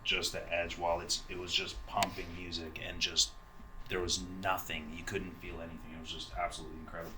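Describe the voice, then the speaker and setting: A man's voice: low voice, flat delivery, brisk, noisy background. A man doing a casual on-location interview as a reporter. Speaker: interviewer for an audio magazine. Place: exhibit room at an audio trade show. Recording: echoey, distant mic, music behind.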